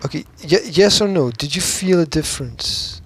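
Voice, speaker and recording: A man's voice: on the high side, expressive, normal speed, husky. A man co-hosting a casual, argumentative podcast, speaking insistently, repeating himself, cutting in and swearing freely. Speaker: podcast co-host. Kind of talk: casual argumentative banter between co-hosts.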